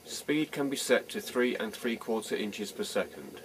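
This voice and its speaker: Low-voiced man on a noisy tape recording. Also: expressive, normal speed. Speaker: presenter of a vintage tape recorder demo. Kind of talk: scripted test narration. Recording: noisy vintage reel-to-reel test recording.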